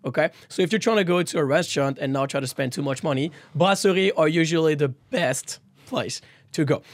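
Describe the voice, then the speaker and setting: High-pitched young Frenchman hosting a podcast. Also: fairly quick, no room sound. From France. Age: 20s. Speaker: podcast co-host.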